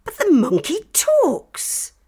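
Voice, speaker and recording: A storyteller voicing a brisk, kindly, proper aunt in a children's storybook, with an exclamatory, old-fashioned manner. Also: very high voice, huge pitch swings, mellow, voice pushed up, full character voice. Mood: astonished.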